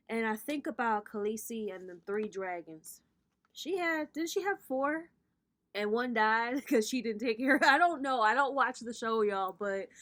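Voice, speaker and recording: A woman in her thirties with a slightly high voice talks straight to her viewers in a relaxed tarot reading. Her delivery is sassy and playful, full of casual swearing, as she coaches her audience on their spiritual path. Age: 30s.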